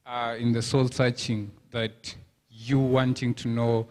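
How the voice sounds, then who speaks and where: A man's voice: medium pitch, little pitch movement, takes it slowly, a little mellow. A man from Kenya in the audience, asking a thoughtful question and searching for his words. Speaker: audience member.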